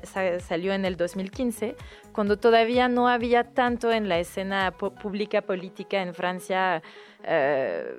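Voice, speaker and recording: A woman with a French accent, native French speaker, recommending a novel in a casual interview, often restarting her words. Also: on the low side. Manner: hesitant, frequent restarts and fillers. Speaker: guest book recommender.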